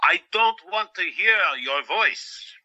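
A pompous, long-suffering hospital boss in his fifties for an audio drama. The voice is high and swoops dramatically, with slow, scolding delivery. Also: expressive, bright, forceful tone, muffled recording, full character voice. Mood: indignant. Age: 50s. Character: exasperated, pompous fifty-year-old male doctor and hospital boss.

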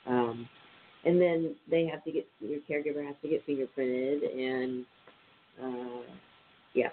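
A man's voice: mid-range voice, fairly even intonation, unhurried. A man with a stuffy, nasal voice hosting a casual radio show, reading statistics aloud with many ums and uhs. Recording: tinny phone-line sound with faint hiss.

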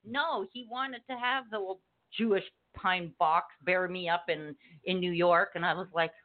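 Sarcastic high-voiced male co-host. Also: fairly even intonation, normal speed. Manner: teasing, sarcastic. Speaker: radio co-host. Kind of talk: casual banter. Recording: narrow phone-like sound.

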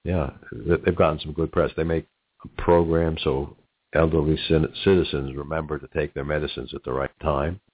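A middle-aged man with a New York accent hosting a business talk show, halting and repeating words as he goes. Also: very deep voice, steady tone, breathy edge. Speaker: radio talk show host. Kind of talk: business interview and announcements. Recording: muffled narrow phone-like audio.

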